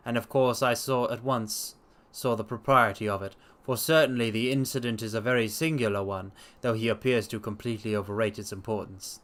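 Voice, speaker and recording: A man voicing a sober Victorian doctor who recounts a strange incident in formal, measured storytelling. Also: on the low side, fairly bright tone, natural voice. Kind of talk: in-character narration of a detective story.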